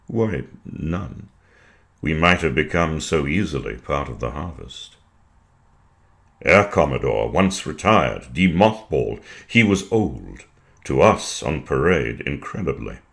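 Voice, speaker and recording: Very deep, gravelly man reading poetry. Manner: deliberate, precise phrasing with weighted pauses. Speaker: poetry reader. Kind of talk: measured dramatic poetry reading.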